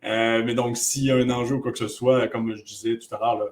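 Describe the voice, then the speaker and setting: A man's voice: medium pitch, little pitch movement, fairly quick, a little mellow. A man moderating a webinar, introducing the speaker and going over housekeeping in a casual way, with many fillers, over a video call.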